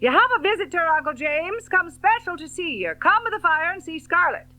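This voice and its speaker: A woman voicing a stout, warm-hearted, bossy housewife in an audiobook novel, hearty and calling out over a noisy household. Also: very high-pitched, very animated, a little fast, dry recording, soft background music, voice pushed up, full character voice. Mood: loud, cheerful.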